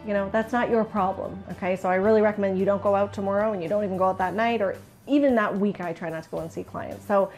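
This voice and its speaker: A woman's voice: mid-range voice, fairly even intonation, fast talker, darkish tone, soft background music. Canadian woman coaching in a chatty way. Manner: chatty, candid, acts out client remarks. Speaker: business coach for interior designers. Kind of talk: informal instructional video to camera.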